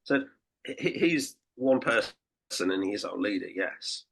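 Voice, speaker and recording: A croaky man talking casually on a podcast. His voice sits low and sounds husky. He rambles and circles back on his sentences. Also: expressive, unhurried, fairly bright tone. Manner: rambling, conversational, with many restarts and fillers. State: croaky voice after a late night. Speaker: podcast co-host and political campaigner. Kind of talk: casual chat.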